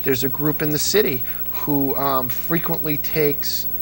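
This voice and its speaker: A man's voice: a little high, steady tone, unhurried, soft background music, low-quality recording. A man being interviewed as an old-time radio fan, talking with enthusiasm and frequent restarts. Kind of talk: casual interview chat.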